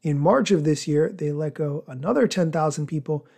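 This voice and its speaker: A man with a voice on the higher side presents news as a podcast host. He follows a script, explaining points in a measured manner and setting off quotations formally, with some commentary of his own. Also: gravelly, mellow.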